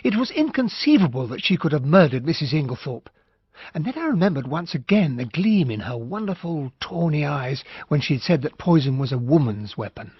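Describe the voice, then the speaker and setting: An earnest, romantic gentleman tells a radio mystery. His voice is rather high and very animated, with an expressive, wistful delivery. It sounds close, dry and a bit dull. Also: brisk, slightly rough, dry recording. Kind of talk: BBC radio drama narration.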